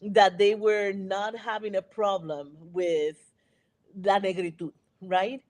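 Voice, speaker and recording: A woman's voice: mid-range voice, steady tone, slow pace, a little mellow. A woman from Puerto Rico with a Puerto Rican accent, a native Spanish speaker, speaking reflectively on a panel and often checking in with "right?". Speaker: panel guest. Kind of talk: discussion.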